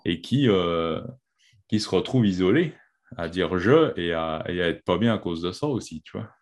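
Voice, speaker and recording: A man who grew up in Quebec, chatting in a relaxed, slangy way with frequent verbal tics. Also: low voice, normal speed. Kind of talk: casual conversation.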